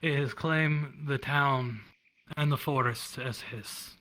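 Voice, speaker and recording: A man's voice: medium pitch, steady tone, takes it slowly, fairly bright tone, no echo, low-quality recording. A man speaking in character in an improvised online fantasy roleplay.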